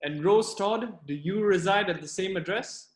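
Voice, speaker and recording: A man playing a solemn, formal court clerk who declaims deliberately in a courtroom play. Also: sits high, close to an everyday voice. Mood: solemn, official. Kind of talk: courtroom stage play acting. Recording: echoey room.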